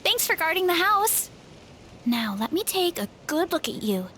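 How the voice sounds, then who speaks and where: A woman voicing a cheerful young woman with a big appetite in a video game, talking in a bright, friendly way and laughing easily. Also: extremely high pitch, very animated, normal speed, slight background noise, exaggerated voice. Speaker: game character showing guests an orchard. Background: soft game music.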